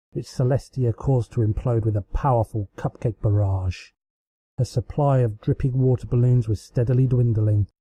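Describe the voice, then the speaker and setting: A man reading a story aloud as an amateur narrator, with expressive scripted delivery. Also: medium pitch, no echo.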